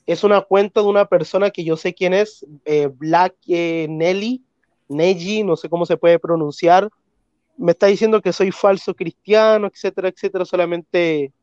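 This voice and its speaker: A man's voice: high voice, darkish tone, no echo, muffled recording. A Chilean man with a Chilean Spanish accent and a congested voice, hosting a live stream and arguing earnestly about theology. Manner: earnest, insistent, repeats phrases. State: congested, clearing throat. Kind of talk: live stream debate talk.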